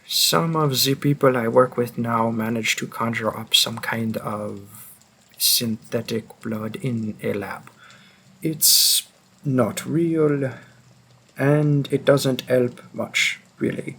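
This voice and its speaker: A man playing a centuries-old, remorseful vampire hunter in an audio drama, speaking in a brooding, halting manner with long pauses. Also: mid-range voice, fairly even intonation, gravelly, fairly bright tone, a little hiss or hum. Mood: weary, resolute. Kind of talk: scripted dramatic monologue in audio roleplay.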